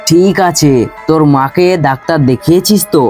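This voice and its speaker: A man voicing a kind, trusting, humble younger brother from a village in an animated folk tale, earnest and mild. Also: a little high, normal speed, faint music behind, natural voice. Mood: caring.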